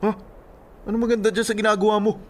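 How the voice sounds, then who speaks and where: A man's voice: high voice, little pitch movement, fast, a little hiss or hum, close to an everyday voice. A man acting a blunt young friend, teasing and exasperated, in radio drama dialogue.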